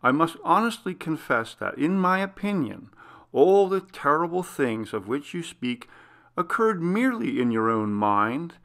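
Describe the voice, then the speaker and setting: A man reading a classic Gothic story aloud as scripted narration, in a measured, formal literary manner. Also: mid-range voice, vocal fry, fairly bright tone. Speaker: audiobook reader.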